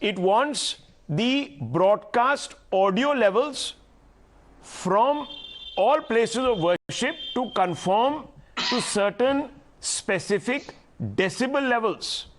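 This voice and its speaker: A male news anchor with an Indian accent and a high voice moderates a heated television debate. He is insistent and argumentative, pressing his points and cutting across others. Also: animated, slow pace.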